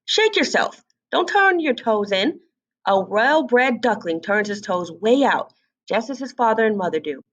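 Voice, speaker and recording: A woman's voice: a little high, animated, measured pace, fairly bright tone, recorded from a distance, lo-fi sound. A woman voicing a proud, weary, bossy mother duck in a storybook reading, exclaiming and chatting as she instructs.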